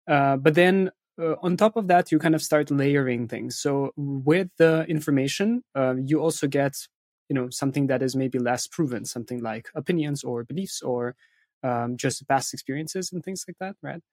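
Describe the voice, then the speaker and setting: Man, medium pitch, interview guest, explanatory, frequent tag questions, conversational, dry close recording. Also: moderate pace, darkish tone, no echo. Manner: explanatory, often checks with a tag "right?". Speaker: interview guest, content marketing firm founder.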